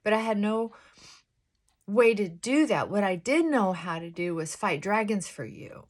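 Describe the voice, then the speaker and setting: A middle-aged woman chats slowly and thoughtfully while getting ready in the morning. Her fairly high voice is held down on purpose, and she drops into soft whispered asides. She speaks in a confessional, reflective way. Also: lively intonation. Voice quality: fairly high-pitched voice she works to pull down. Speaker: vlogger. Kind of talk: casual get-ready-with-me chat.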